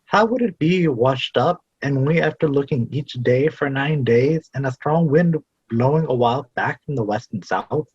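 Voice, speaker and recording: Man acting young seafarer, husky, resolute, practical, dry dull recording, stage drama. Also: mid-range voice, moderate pace, hardly any pauses, a little mellow, dry recording, natural voice. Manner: practical, firm. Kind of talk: stage drama read as virtual play.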